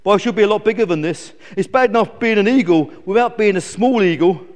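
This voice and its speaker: A man's voice: sits high, fast, darkish tone, long reverb tail, voice pushed up, close to an everyday voice. A man voicing a grumpy young male eagle who squawks and complains indignantly in a children's story. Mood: whining, miserable.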